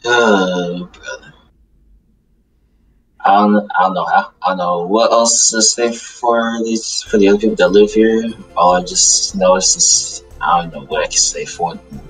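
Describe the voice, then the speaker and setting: Man playing low, brooding demon outcast. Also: fairly bright tone, husky, exaggerated voice. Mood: resigned, hurt. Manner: halting, repetitive, sighing. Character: brooding male demon outcast, weary and guarded. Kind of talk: improvised Minecraft anime roleplay. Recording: distant mic under music. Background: music.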